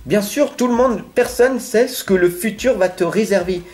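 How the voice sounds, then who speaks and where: A man's voice: high voice, normal speed, darkish tone. A French-accented man giving a tarot card reading straight to the viewer, earnest and insistent, often checking in with "d'accord?". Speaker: tarot reader.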